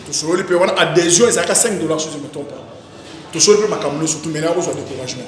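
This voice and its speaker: A man's voice: on the high side, rapid-fire. Echoey Congolese man recounting in a rambling way. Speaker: stage actor being interviewed. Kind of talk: casual interview, recounting career. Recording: very echoey reverberant room, music behind. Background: music.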